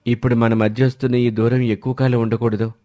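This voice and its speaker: Man acting a mid-pitched, concerned family man. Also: little pitch movement, fast talker, warm tone, natural voice. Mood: grave, persuading. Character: concerned, patient husband and brother, gently reasoning. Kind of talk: dramatized family story dialogue.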